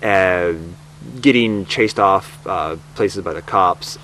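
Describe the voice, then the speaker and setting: A man's voice: sits low, slow pace. A man speaking as a director in an informal, reflective documentary interview. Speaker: audio drama director. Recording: faint background hum.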